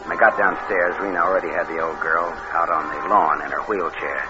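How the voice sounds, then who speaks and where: An actor playing a cold, scheming killer with a hardboiled, deadpan way of talking in an old radio crime drama. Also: male voice, low voice, brisk, lightly acted. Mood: cold, matter-of-fact. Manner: hardboiled, deadpan narration, falsely solicitous with others. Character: cold, scheming killer narrating his crime, posing as nephew. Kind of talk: 1940s radio drama acting. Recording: muffled, noisy old radio recording.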